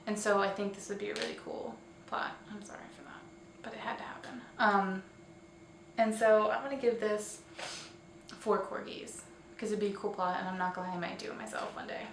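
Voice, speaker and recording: A woman presents a casual online roundup in an informal, chatty way, a little tentative and quick to correct herself. She sounds set back from the microphone in an echoey room, with faint noise underneath. Her voice sits at a middle pitch. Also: steady tone. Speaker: host of a weekly online roleplay community show. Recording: echoey room, distant mic, faint hiss.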